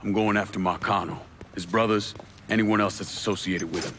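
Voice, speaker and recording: Man playing a low-voiced, hardened American avenger. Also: fairly even intonation, a little fast, fairly bright tone, a little hiss or hum, natural voice. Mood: cool, determined. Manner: blunt, controlled, businesslike. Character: terse, hardened, determined American man seeking revenge. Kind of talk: video game cutscene acting.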